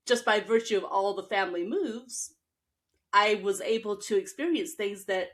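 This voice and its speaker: A woman's voice: mid-range voice, a bit bright. A woman from Texas and the Midwest hosting an interview in a casual, reflective conversational style. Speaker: interview host.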